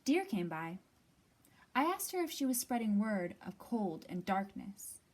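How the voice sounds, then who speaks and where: A woman hosting a library storytime, reading a picture book aloud expressively with deliberate, dramatic pauses. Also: mid-range voice, very animated.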